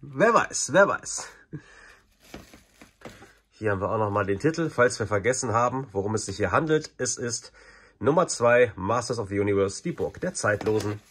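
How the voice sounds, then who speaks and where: Casting: male reviewer, forties, a little husky, informal and enthusiastic fan chatter. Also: on the low side, lively intonation, normal speed, fairly bright tone. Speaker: video reviewer. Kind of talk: casual unboxing review to camera.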